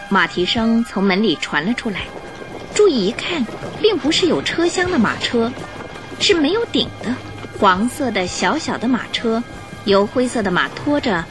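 A woman narrating a radio drama in an expressive, dramatic storytelling style. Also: fairly high pitch. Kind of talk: scripted Mandarin storytelling narration. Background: music.

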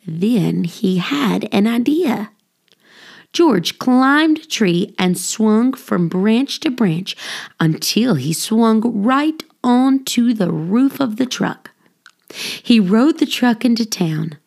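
A woman reading a children's story aloud as scripted narration, with expressive, deliberate phrasing for young listeners. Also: medium pitch, gravelly. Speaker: audiobook reader, children's storyteller.

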